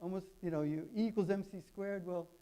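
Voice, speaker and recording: A man's voice: sits high, fairly even intonation, brisk, dark tone. A man giving an informal talk as a guest on a stage, feeling his way through phrases with repetition. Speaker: guest speaker. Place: expo stage.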